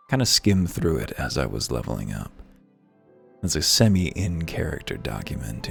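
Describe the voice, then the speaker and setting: A man's voice: rumbling low, creaky. A man giving wry, musing, articulate commentary as the host of a video game playthrough, moving between casual asides and reading aloud. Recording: music playing behind the voice. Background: music.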